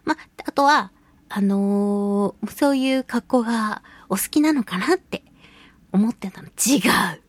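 A woman hosting a radio show solo, chatting casually and playfully to listeners with drawn-out words and colloquial asides. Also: fairly high pitch, no room sound. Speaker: radio show host, voice actress.

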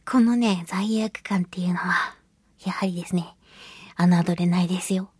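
A woman hosting a solo radio show, chatting in an animated, self-deprecating storytelling manner. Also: on the low side, moderate pace, breathy edge, no echo. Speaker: radio show host and voice actress.

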